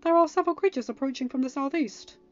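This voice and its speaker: A woman's voice: sits high, fast, pitched above the performer's own voice, natural voice. A woman voicing a fussy, anxious male protocol droid in a prim, dramatic manner for a story reading. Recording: dull lo-fi recording with music behind.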